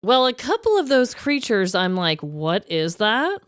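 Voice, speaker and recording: A woman co-hosting a podcast in casual chat, expressive and informal, with exclamations and casual swearing. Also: mid-range voice, measured pace. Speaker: podcast co-host.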